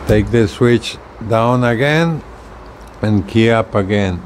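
An older man giving a casual, hands-on product demonstration with halting, unpolished delivery, recorded outdoors on a porch. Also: fairly low pitch. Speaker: video creator demonstrating radio gear. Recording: outdoor ambient noise.

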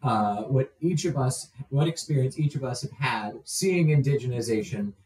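A man's voice: mid-range voice, lively intonation, normal speed, darkish tone. A teenage boy from Saskatchewan with a Canadian accent, co-hosting a casual podcast discussion. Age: teens. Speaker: podcast co-host. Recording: roomy, distant microphone.

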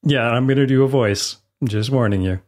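A man's voice: mid-range voice, moderate pace, a little mellow. A man as podcast co-host, chiming in with short joking interjections in casual chat.

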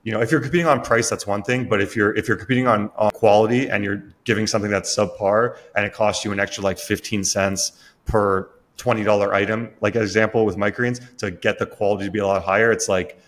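A man in his thirties hosting a casual interview on a video call, thinking aloud in halting phrases. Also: fairly low pitch, fairly even intonation, a bit bright. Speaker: podcast host, microgreens grower. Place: small room indoors, on a video call.

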